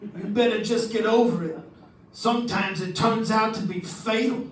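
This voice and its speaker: A woman's voice: fairly high pitch, normal speed, natural voice. A woman playing a defensive, menacing shady business owner in an old-time radio crime drama, with tough, clipped delivery. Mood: defensive, threatening. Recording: distant, echoey stage with background noise.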